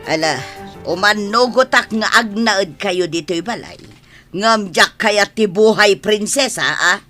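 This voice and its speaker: Stern, sharp-voiced strict mother. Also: medium pitch, a little slow, soft background music. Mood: stern, conditional. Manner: stern, questioning, commanding. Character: strict, stern older mother of a grown son. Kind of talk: radio drama acting.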